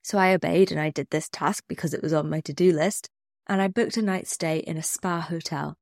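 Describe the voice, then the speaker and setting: A woman's voice: sits low, steady tone, a little fast, no echo, studio quality. A woman with a cold, sounding a bit snuffly, hosting her own podcast and reflecting candidly in a scripted solo talk. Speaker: podcast host.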